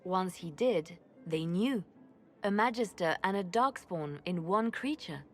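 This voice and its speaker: An actress playing a composed, courtly fantasy advisor, speaking in a measured, formal way. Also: female voice, lowish voice, expressive, faint music behind. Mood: composed, solemn. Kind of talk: dramatic game dialogue.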